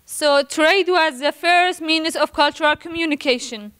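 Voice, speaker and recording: A young woman from Iraq gives a prepared academic presentation as a student, nervously and haltingly, stumbling over words as she reads carefully. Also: high voice, a bit bright. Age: young adult.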